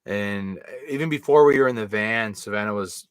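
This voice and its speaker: A young man co-hosting a casual live stream, relaxed and joking as he rambles through memories. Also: lowish voice, moderate pace, clear, resonant tone, no echo, studio quality. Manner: laid-back, joking, rambling.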